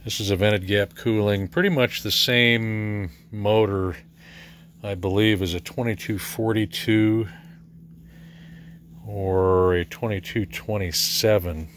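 A middle-aged American man giving a casual, halting, matter-of-fact walkthrough as a repair technician, filming in his workshop. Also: lowish voice, steady tone. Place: cluttered indoor repair workshop. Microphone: handheld camera or phone mic. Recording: faint background noise.

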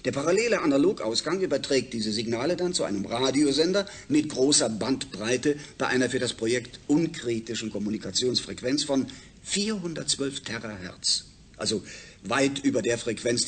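A medium-pitched male voice of an actor playing a space project chief in a science fiction radio play. He lectures with formal assurance over faint ambient noise. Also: natural voice. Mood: technical, formal. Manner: formal, explanatory. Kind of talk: German science fiction radio drama acting.

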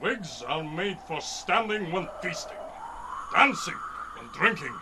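A man's voice: sits high, expressive, unhurried, background noise. A man playing a boisterous, proud winged falcon-man leader in a grandiose, declamatory old-time radio serial style. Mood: grand, proud.

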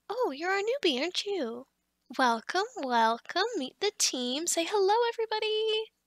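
A woman performs an upbeat female office boss for an ASMR roleplay. Her voice is high and bright, recorded very dry. She chats in a bubbly, slightly scatterbrained way, hesitating as she goes and always encouraging. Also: no echo. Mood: bubbly, welcoming. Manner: bubbly, chatty, with giggles and hesitations. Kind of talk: ASMR roleplay acting, conversational.

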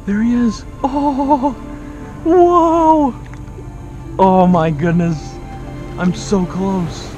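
A young man talks to a kayak-mounted action camera out on open water, his voice slightly high and his delivery unhurried between bursts of breathless, awed exclamations. Music plays clearly underneath him. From raised in Oklahoma, born in Kansas. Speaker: kayak fishing vlogger. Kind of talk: excited outdoor vlog commentary. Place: kayak on open sea, outdoors.

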